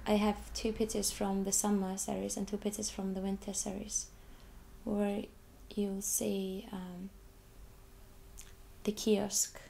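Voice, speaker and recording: An Icelandic woman, a young adult, speaks English as her second language. She talks haltingly and repeats words as she restarts her sentences. Her voice is dark, a little gravelly, and fairly flat, with a faint hiss in the background. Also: mid-range voice, low-quality recording. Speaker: artist. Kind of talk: interview, describing her photographs.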